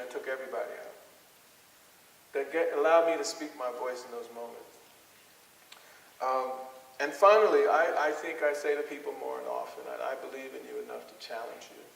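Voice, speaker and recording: A male psychologist lectures with a creaky, gravelly voice at an ordinary pitch. He is earnest and deliberate, leaning on rhetorical questions. The sound is distant and reverberant, as in a hall. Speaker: psychologist giving a public lecture. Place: large hall with audience, public address microphone. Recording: echoey hall, faint hiss, distant mic.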